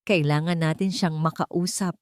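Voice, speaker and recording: A woman's voice: sits low, fairly even intonation, moderate pace, no echo, natural voice. Woman acting proud older matriarch, Filipino accent, wealthy, pushy, pompous, audio storybook drama, dry recording. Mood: determined.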